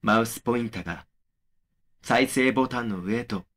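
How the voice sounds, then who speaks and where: A man playing a young man who narrates his eerie experience in a horror audio drama, measured and serious. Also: medium pitch, a bit bright, close to an everyday voice. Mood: serious, suspenseful. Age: young adult. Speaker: first-person narrator of a horror story.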